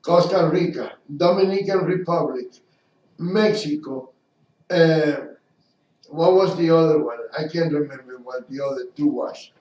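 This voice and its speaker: A man's voice: a little high, a little slow, a little mellow. A man with a non-native English accent co-hosting a casual radio talk show, telling indignant stories. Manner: indignant, talkative storyteller. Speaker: radio co-host. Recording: distant roomy lo-fi mic.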